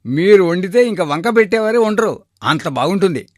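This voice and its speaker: Guy doing a servile shop helper in a cartoon story, high voice, respectful and flattering. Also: moderate pace, dry recording, clean studio sound. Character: deferential male shop helper, flattering and chatty.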